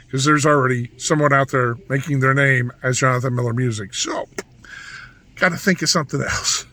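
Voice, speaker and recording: A man with a hoarse, raspy voice, talking through a casual vlog in a rambling monologue full of restarts and asides. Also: medium pitch, pushed and bright. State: hoarse from yelling. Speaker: vlogger.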